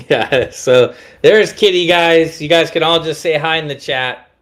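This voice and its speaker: A man co-hosting a livestream Q&A, talking in a relaxed way and laughing easily with asides to viewers. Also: on the high side, a little slow. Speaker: livestream co-host. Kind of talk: casual Q&A chat.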